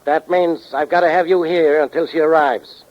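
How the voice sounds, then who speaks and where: An actor playing a gruff, exasperated police inspector, grumbling and sarcastic, in 1940s radio drama style. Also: male voice, a little high, fairly even intonation, moderate pace, natural voice. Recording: muffled, very noisy 1940s radio recording.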